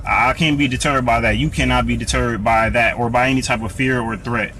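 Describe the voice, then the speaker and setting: Earnest American man, bright forceful voice. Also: medium pitch, steady tone, a little fast, a little hiss or hum. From United States. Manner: earnest and preachy, with frequent restarts and repeated words. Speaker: livestream host answering viewer comments. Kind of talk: live Q&A talk.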